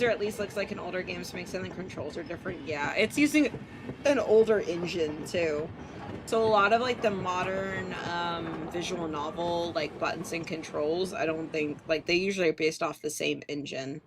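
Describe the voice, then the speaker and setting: A woman with an American accent on a live game stream, reading a visual novel aloud and commenting casually, swearing and tripping over words. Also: lowish voice. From Portland, United States. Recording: clearly noisy background.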